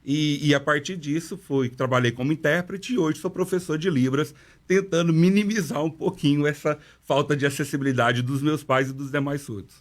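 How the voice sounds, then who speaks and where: A man who teaches and interprets sign language, answering questions in a radio interview in a measured, explanatory way and often adding "né" as he talks. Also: on the high side. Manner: measured explanatory delivery with frequent "né". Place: radio studio.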